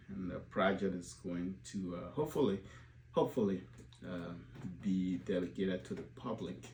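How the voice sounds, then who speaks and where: A deep-voiced man in his forties speaks slowly and haltingly to the supporters of his organization, full of filler sounds and restarts. The recording is roomy, with a faint hum behind him. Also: a little mellow. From Liberia. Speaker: organization leader addressing supporters. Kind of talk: unscripted video address.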